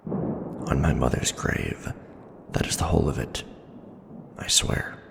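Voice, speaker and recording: A man's voice: a bass voice, sing-song, slow pace, gravelly, heavily acted. A man voicing a grim, earnest young orphaned avenger in a fantasy ASMR roleplay, speaking solemnly and formally, respectful but challenging. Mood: grim, vowing. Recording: echoing reverb with background noise.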